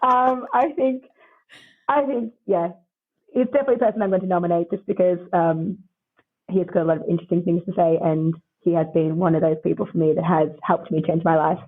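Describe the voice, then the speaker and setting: A woman with a croaky voice, chatting upbeat as a podcast guest and laughing often between answers. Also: lowish voice, steady tone, a little fast. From Australia. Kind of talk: casual interview chat. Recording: tinny phone-like line.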